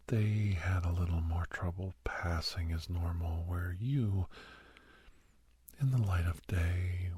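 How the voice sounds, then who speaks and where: A man playing a doctor who counsels a young person in an ASMR roleplay, mostly whispering in a slow, breathy, reassuring but firm manner. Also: deep voice, mellow, full character voice. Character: male doctor gently counselling a young werewolf for college.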